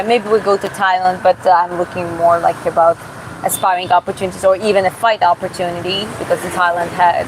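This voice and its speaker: A woman from Georgia who streams and boxes, reading viewer comments aloud in a live Q&A and answering casually, often pausing and restarting to find her words. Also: fairly low pitch. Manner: casual, halting, searches for words with many restarts. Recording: steady background hum.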